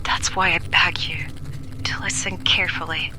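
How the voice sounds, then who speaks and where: A woman's voice: fairly low pitch, fairly even intonation, normal speed, pushed and bright. An actress playing a frightened 1940s woman doctor who records a grave, urgent warning in an audio drama, often dropping into whispers. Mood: urgent, fearful. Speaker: expedition demonologist. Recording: noisy old reel-to-reel tape recording.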